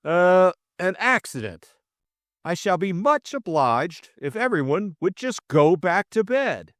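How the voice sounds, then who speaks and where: A pompous male official from an audiobook. He blusters and stammers in a high, outraged pitch that swoops up and down. The sound is dry and close. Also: very animated, extremely slow, a bit bright, no echo, full character voice. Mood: flustered authority. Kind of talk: audiobook character acting.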